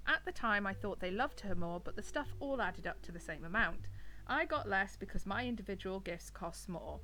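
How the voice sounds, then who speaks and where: A woman's voice: mid-range voice, animated, normal speed, pushed and bright, low-quality recording. Chatty British woman with a cold. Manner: chatty, rambling asides. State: has a bad cold, a bit nasal. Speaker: host reading and commenting on online stories. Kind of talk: casual reading and commentary. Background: background music.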